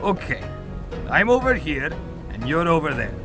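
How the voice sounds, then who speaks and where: A huge old grandfather from a mythology audio drama, acted out by a woman. The voice is rough yet soothing, kindly and teasing, and it swings widely in pitch. Music plays clearly behind it. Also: sing-song, normal speed. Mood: gentle teasing.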